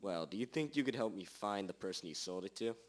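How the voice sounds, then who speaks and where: A man playing a self-important, gruff detective with theatrical declarations in an amateur radio drama, roughly recorded. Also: sits low, expressive, quick pace. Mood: businesslike. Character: melodramatic male small-town detective, gruff and self-important.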